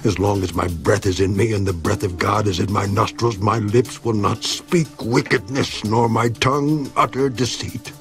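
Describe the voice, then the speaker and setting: A man's voice: on the low side, moderate pace, few pauses, faint music behind, natural voice. A man voicing Job, an aged, suffering but steadfast man, in a dramatized Bible reading with solemn, declamatory delivery. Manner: solemn, declamatory, rising and falling emphasis. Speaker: voice actor in a Bible audio drama.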